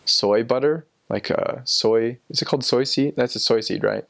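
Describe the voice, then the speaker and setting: A man's voice: medium pitch, moderate pace, creaky, fairly bright tone. A young man telling personal experiences casually, in a rambling, informal way as if thinking aloud. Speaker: former missionary sharing experiences.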